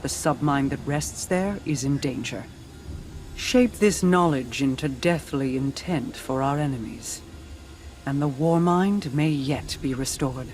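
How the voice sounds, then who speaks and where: An actress playing a solemn, regal queen and seer in a video game, speaking formally and with measured gravity. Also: extremely low pitch, darkish tone, a little hiss or hum. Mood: grave. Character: regal, grave female queen and seer advising war. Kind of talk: scripted game dialogue.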